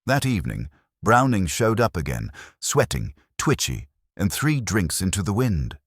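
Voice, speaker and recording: Very deep, husky male story narrator. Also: wildly swooping pitch, a little slow, no echo, studio quality. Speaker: audiobook narrator telling the story as a detective's assistant. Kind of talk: first-person scripted detective story narration.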